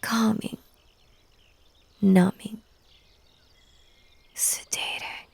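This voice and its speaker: A woman's voice: medium pitch, steady tone, drawn-out words, gravelly, darkish tone, breathy edge, no echo, full character voice. A woman playing an unhinged, lovesick bunny girl in an intimate audio roleplay, coaxing and hypnotic, slipping into whispers. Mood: tender, soothing.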